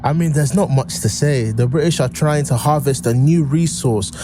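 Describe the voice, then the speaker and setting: An actor playing a matter-of-fact agent giving a briefing in an audio drama. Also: male voice, mid-range voice, moderate pace, noisy background, close to an everyday voice. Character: plain-spoken male agent briefing colleagues.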